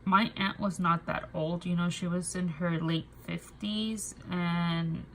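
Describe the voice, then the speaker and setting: Congested young woman vlogging softly. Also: lowish voice, steady tone, a little hiss or hum, rough recording. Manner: halting, soft, with many fillers and restarts. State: congested with a cold, nasal. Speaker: budgeting vlogger. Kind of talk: casual personal talk to camera.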